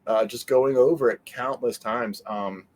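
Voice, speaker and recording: A man's voice: mid-range voice, steady tone, unhurried, a little mellow. Casual, rambling man in an interview. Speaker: interview guest.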